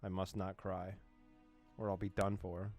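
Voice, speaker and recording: Low-voiced man reading a game aloud. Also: flat delivery, measured pace, a little mellow, soft background music. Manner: playful, laughing asides, dramatic reading. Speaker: let's play host. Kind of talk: reading a visual novel aloud with reactions.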